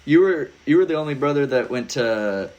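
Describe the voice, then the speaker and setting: A man's voice: mid-range voice, lively intonation, moderate pace, darkish tone, lo-fi sound. A young man chatting casually as a podcast guest, laid-back and rambling, with lots of "like" and "dude". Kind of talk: casual conversation.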